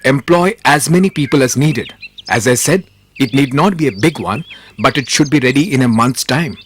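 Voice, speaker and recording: A man acting a wise, steadfast royal minister in a respectful, earnest manner for an animated moral tale. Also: mid-range voice, moderate pace, slight background noise, close to an everyday voice. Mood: brisk, instructing.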